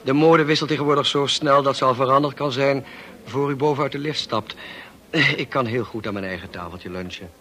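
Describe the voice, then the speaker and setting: An actor playing a brusque, dryly witty upper-class gentleman in a radio play, clipped and commanding, on a slightly noisy old recording. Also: mid-range voice, a little fast, natural voice. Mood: cool, sardonic. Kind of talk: radio drama acting.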